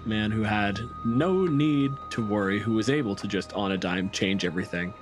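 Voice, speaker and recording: Male game narrator, fairly deep, dramatic and deliberate storytelling over a clear music bed. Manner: deliberate, drawn-out phrases with weighty pauses.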